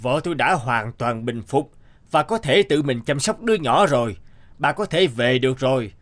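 An actor voicing a stern, secretive husband in a cartoon fairy tale, commanding and insistent. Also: male voice, mid-range voice, expressive, normal speed, lightly acted. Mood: firm. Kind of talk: animated fairy-tale dubbing. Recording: background music under the voice.

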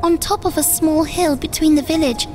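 A woman's voice: sits high, moderate pace, close to an everyday voice. A woman reading scripted storybook narration for a comic dub. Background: music.

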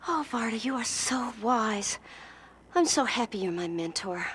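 A woman's voice: on the high side, animated, a little fast, slight background noise, lightly acted. A woman playing a melodramatic young soap-opera wife, overwrought and clingy. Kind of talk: soap-opera parody acting.

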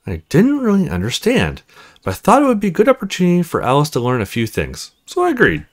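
A man voicing a cool, confiding married female neighbor from a visual novel, reading her dialogue expressively and in measured phrases. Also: fairly high pitch, huge pitch swings, pitched above the performer's own voice, full character voice. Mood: confiding, frank.

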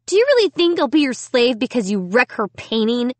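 Young heroine for children's horror audiobook, voiced by an adult woman: high, frightened, urgent, stubbornly defiant. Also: very animated, a little fast, dry recording. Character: frightened, defiant young girl battling a living dummy.

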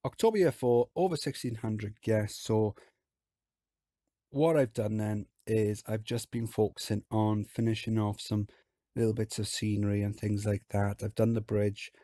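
A man with a hoarse, croaky voice from illness, chatting casually as he talks through a theme park game. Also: on the low side, normal speed, no room sound, studio quality. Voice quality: husky, croaky. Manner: relaxed, chatty, explains as he plays. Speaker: game video host.

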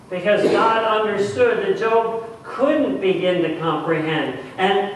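A middle-aged man preaching a sermon in a church, deliberately, with dramatic pauses and repeated phrases for emphasis. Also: high voice. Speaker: pastor. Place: church sanctuary, speaking at the front. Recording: echoey church, distant mic, faint noise.

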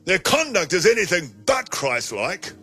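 A man in his sixties preaching a sermon on a studio stage into a handheld mic, emphatic and slowing down to stress key phrases. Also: high-pitched, sing-song, a bit bright, soft background music. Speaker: pastor. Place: studio stage with colored backdrop.

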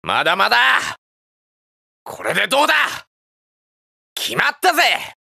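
A hot-blooded young hero from a fighting game. His delivery is bright and forceful with lively swings in pitch and a gravelly edge. He comes across as earnest, boyish and blunt. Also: a little slow, no echo, studio quality, full character voice. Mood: fired up. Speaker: video game character voice actor. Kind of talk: shouted battle lines and game voice clips.

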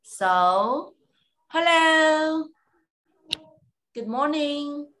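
A young woman, an English teacher giving a child a speaking test over a video call, slow, clear and full of praise. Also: high voice, animated, fairly bright tone.

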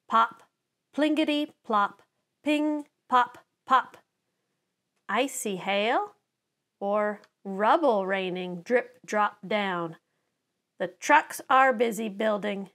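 A middle-aged woman reading a children's picture book aloud to preschoolers in an expressive, deliberate storytelling manner. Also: mid-range voice. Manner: animated, deliberate storytelling with dramatized sound words.

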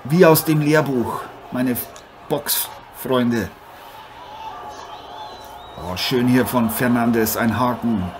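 A man's voice: medium pitch, very slow, pauses often. A man playing a brash, chummy boxing figure in an improvised mock sports radio play, leaning on repeated catchphrases. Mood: excited, chummy. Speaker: boxing commentator. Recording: clearly noisy amateur recording.

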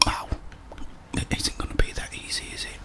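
A young man hosting an ASMR gaming video, muttering casual commentary to himself in a whisper as he plays. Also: brisk.

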